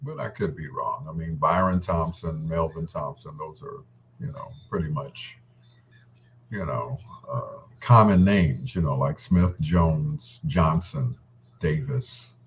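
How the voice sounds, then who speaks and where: A man's voice: a bass voice, slow pace, slight background noise, poor audio. A man hosting a radio show, telling personal stories in a casual, rambling, reminiscing way. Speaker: radio host. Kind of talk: casual storytelling between love songs.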